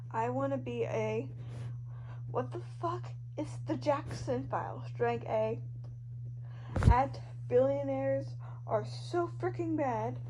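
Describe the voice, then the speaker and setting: A woman reading fan fiction aloud in an informal, expressive way, stumbling over the odd word. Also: on the high side. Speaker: amateur podfic reader. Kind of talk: expressive fan fiction reading of social media posts and narration. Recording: noisy amateur home recording.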